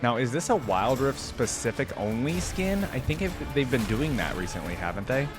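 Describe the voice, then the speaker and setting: A man hosting a reaction video, giving informal, excitable commentary and thinking aloud as he goes. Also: medium pitch, normal speed, music in the background. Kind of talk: casual reaction commentary with some reading aloud.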